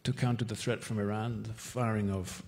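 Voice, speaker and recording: A man with a Northern Irish accent, speaking as a diplomat on a panel, measured and deliberate. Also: lowish voice, little pitch movement, darkish tone. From Northern Ireland. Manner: measured, deliberate, with occasional repeated words. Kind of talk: formal panel discussion. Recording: long hall reverb.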